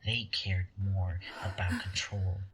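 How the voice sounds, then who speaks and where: An actor in a sci-fi audio drama playing a conniving angel, smug and taunting, with a breathy, husky voice and a theatrical, condescending delivery. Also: low voice, level tone, slow, slight background noise.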